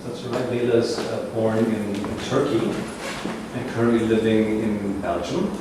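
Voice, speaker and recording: A man hosting a gallery talk as curator, speaking in a measured, reflective way as he searches for words. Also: fairly low pitch, steady tone. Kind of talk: public talk introducing an artist. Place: large echoey gallery room. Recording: echoey gallery room from far off with ambient noise.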